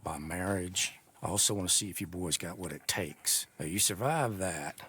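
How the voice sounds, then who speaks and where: A low-pitched old American man, a fence who trusts nobody. He talks in a folksy, guarded, grudging way, as in a western video game, with outdoor ambience behind him. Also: moderate pace, pushed and bright, slight background noise. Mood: sly. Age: older. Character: cagey old male stolen-goods fence, wary and grudging. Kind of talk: video game cutscene acting.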